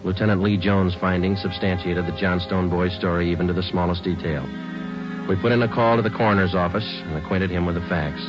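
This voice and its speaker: A very low-voiced male detective played by a man speaks in a terse, deadpan, procedural way. It is vintage radio drama with dulled sound and clear music behind. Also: natural voice. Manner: deadpan, terse, matter-of-fact. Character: calm, businesslike male police detective who narrates. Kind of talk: 1940s radio drama, clipped procedural narration. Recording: dull 1940s radio recording.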